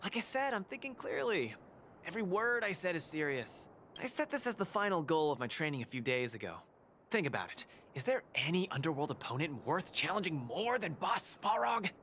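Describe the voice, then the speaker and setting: A man's voice: a little high, very animated, fairly quick, a bit bright, slight background noise. An actor voicing a cheerful, boastful young male underworld fighter in video game dialogue who laughs things off.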